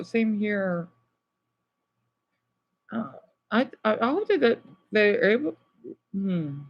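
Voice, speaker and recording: A man chatting casually to live viewers as a streamer, rambling and halting as he jumps between thoughts. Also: high-pitched, vocal fry, lo-fi sound. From United States.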